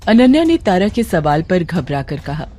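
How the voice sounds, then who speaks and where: A woman's voice: fairly low pitch, very animated, moderate pace, dark tone, slight background noise. A woman narrating a scripted horror audio story in a dramatic storytelling style. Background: music under the voice.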